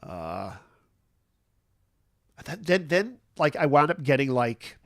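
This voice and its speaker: A man's voice: fairly high pitch, sing-song, takes it slowly, a bit bright, no echo, studio quality. Man with a stuffy, nasal cold voice. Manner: rambling and easygoing, many fillers and restarts. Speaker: livestream host. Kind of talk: casual morning chat.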